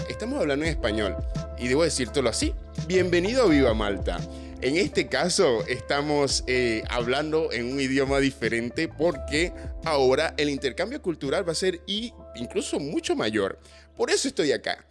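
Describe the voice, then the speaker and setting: Lively Venezuelan male host, higher voice. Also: moderate pace, faint music behind. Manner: animated and chatty, with jokey asides. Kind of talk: casual interview chat.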